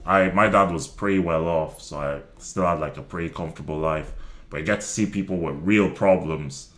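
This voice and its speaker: A man's voice: deep voice, moderate pace, a bit bright. A man in his twenties, a stand-up comedian from Nigeria and Ireland, chatting casually in an interview with many ums and restarts. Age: 20s.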